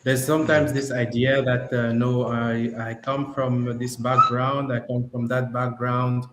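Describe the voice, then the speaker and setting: A man's voice: mid-range voice, level tone, a little slow. A man from Cameroon hosting an online panel, speaking in a reflective, encouraging way and sometimes repeating words. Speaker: host. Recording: echoey room with faint noise.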